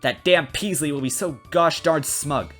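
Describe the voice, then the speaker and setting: A man's voice: on the high side, a little slow, a bit bright, voice pushed up. Brash storybook princess, done by a male reader: young, pushy, casually blunt, full of slangy filler, over background music. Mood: scornful.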